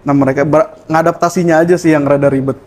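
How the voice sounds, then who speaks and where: Relaxed man explaining with fillers. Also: on the high side, fast talker. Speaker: chef and barista at a cafe. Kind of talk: casual interview answers. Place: cafe.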